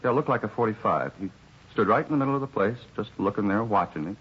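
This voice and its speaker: A put-upon American bar worker grumbles in a low, rough-edged voice. He rambles in a plainspoken, resigned way, in 1950s radio drama style, on a dull old recording. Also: expressive, fast. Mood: shaken, earnest. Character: weary, put-upon male bar employee, grumbling witness.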